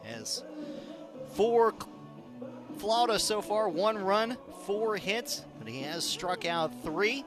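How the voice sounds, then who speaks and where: High-voiced man calling baseball on radio. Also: very animated, slow, music in the background. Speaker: baseball play-by-play announcer. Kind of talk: live radio sports commentary. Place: live at baseball games.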